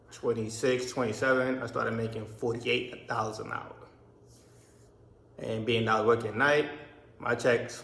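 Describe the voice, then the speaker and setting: A man's voice: lowish voice, fairly bright tone. A man in his thirties from Barbados, raised in the US, telling a personal story in a rambling vlog with many restarts. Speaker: vlogger. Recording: echoey room.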